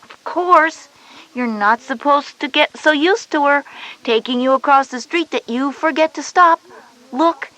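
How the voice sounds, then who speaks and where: Casting: a bright schoolgirl big sister, high voice, patient and bossy-helpful, for a children's educational cassette. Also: a little slow, low-quality recording. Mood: patient, instructive. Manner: explanatory, instructive.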